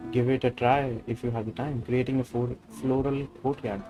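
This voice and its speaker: A man's voice: mid-range voice, little pitch movement, normal speed, lightly acted, low-quality recording. A man voicing a polite, gracious woman florist from a video game, formal and explanatory. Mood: courteous, inviting. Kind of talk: reading video game dialogue aloud. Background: game music.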